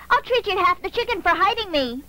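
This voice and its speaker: Very high-pitched scrappy street boy. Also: lively intonation, brisk, pushed and bright, no room sound, full character voice, poor audio. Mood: cocky, relieved. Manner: brash, defiant, streetwise. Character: scrappy, cheeky starving street boy, a chicken thief. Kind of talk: dubbed animated film acting.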